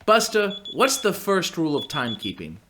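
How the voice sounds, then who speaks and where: A performer playing an animated guest in a comic radio play. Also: mid-range voice, moderate pace, slight background noise.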